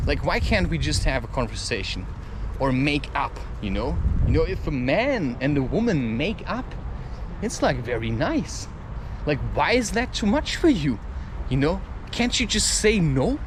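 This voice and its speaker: A man giving a rambling, confessional, questioning monologue as he walks outdoors. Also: a little high. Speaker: solo vlogger. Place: outdoors, walking in a public area. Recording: noisy outdoor street ambience.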